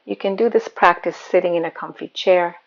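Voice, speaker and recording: A woman leads a guided meditation in a calm, soothing way. Her voice sits on the low side and has a strong creak of vocal fry. The recording is a little dull and muffled, with a lo-fi sound. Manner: calm, soothing, unhurried instruction. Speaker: yoga nidra meditation guide.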